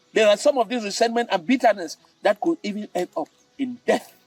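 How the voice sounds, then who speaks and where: A man's voice: high-pitched, a little mellow. Roomy, distant older Cameroonian man telling stories. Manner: theatrical, emphatic, with dramatic pauses and repetition. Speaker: storytelling host. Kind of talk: spoken storytelling with moral advice. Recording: roomy, distant mic with music.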